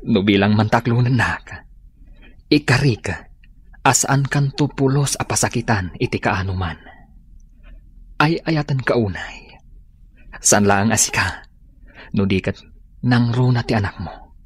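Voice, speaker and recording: A man's voice: medium pitch, measured pace, pauses often, slightly rough. A man playing an earnest, lovestruck suitor in a radio drama, tender and pleading. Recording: radio drama with music behind.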